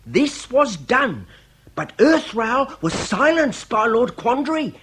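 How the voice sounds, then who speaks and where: An earnest young British detective's assistant in a period radio drama. The voice sits very high, with formal, methodical phrasing and a deferential manner. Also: male voice, big pitch swings, slow, a bit bright, slight background noise, heavily acted. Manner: precise, formal, methodical recounting. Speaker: actor in radio comedy drama.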